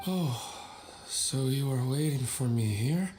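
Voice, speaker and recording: A man's voice: mid-range voice, expressive, slow, background noise. An actor playing an earnest, bewildered, rambling dreamer, a man in a video game. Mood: eager, rambling. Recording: game music behind. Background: game music.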